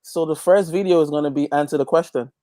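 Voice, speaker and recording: A man's voice: a little high, steady tone, quick pace, dark tone, no room sound, clean studio sound. A man in a casual interview, speaking as a guest musician and repeating phrases emphatically as he builds a point. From Guyana. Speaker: interview guest, gospel reggae artist.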